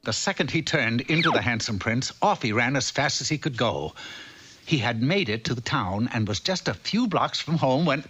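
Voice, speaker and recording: A man's voice: medium pitch, expressive, normal speed, sharp tone, slightly rough, highs cut off, soft background music. A man playing a genial, wry storyteller, reading scripted narration for a comic fairy-tale cartoon. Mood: wry, storytelling.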